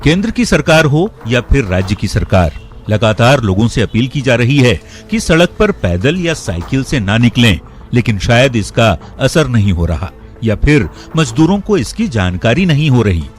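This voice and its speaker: A man's voice: mid-range voice, very animated, normal speed. A man reading a scripted news voice-over as the narrator of a report. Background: faint background music.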